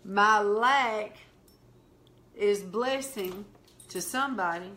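A middle-aged woman with a Southern drawl tells faith stories at an unhurried, deliberate pace. Her voice sits at an ordinary pitch and carries a warm, preacherly sincerity. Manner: slow, heartfelt preaching delivery. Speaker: minister. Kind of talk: testimony-style storytelling in conversation.

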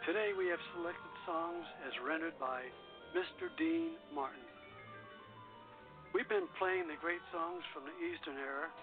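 A man's voice: fairly high pitch, very animated, unhurried. Male radio host on a tinny line. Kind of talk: scripted narration with introductions. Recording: phone-like tinny sound over loud music.

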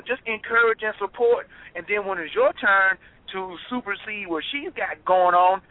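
A man with a high voice gives spiritual advice on a call-in radio show, and he gets animated and preachy as he repeats his phrases. The sound is dry and close. It comes over a tinny phone line. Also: no room sound. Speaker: co-host giving advice. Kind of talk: casual call-in radio talk.

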